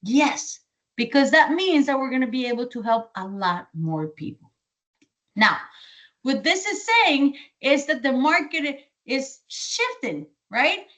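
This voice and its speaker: High-voiced Colombian woman, sales trainer, lively, repeats key points. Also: slow pace. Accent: Colombian Spanish. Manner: energetic, repeats key points, asks and answers own questions. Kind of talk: training presentation.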